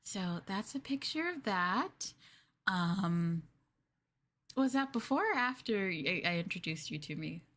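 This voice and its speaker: A woman playing a clingy, lovestruck yandere girlfriend in an ASMR roleplay, soft, coy and doting, with a hesitant, flustered way of talking. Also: mid-range voice, lively intonation, unhurried. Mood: fond, reminiscing.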